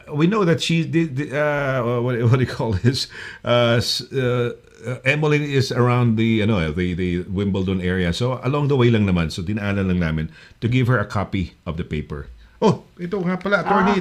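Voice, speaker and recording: A middle-aged man from the Philippines with a Filipino accent, hosting a casual call-in show in a rambling, chatty way full of fillers and restarts. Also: mid-range voice. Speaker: talk show host. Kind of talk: casual live call-in chat.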